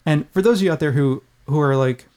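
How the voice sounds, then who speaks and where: A middle-aged man with a high-pitched voice, chatting casually as a podcast host in an irreverent, rambling, casually profane way. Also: fairly quick, a little mellow, clear, resonant tone. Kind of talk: casual chat.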